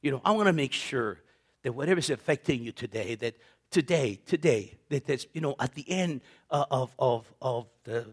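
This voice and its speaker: A man's voice: mid-range voice, moderate pace, slightly rough. A man in his fifties preaching, telling personal stories with repetitions, laughter and acted-out voices of others. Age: 50s. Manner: animated, repeats words, laughs often, acts out quoted voices. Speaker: pastor. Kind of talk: sermon with personal storytelling.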